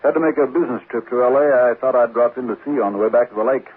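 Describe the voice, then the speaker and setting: A man's voice: mid-range voice, fairly even intonation, very fast, lightly acted. An actor playing a plain, businesslike male sheriff in 1940s radio drama style. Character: matter-of-fact small-town male sheriff. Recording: tinny, faintly noisy 1940s radio recording.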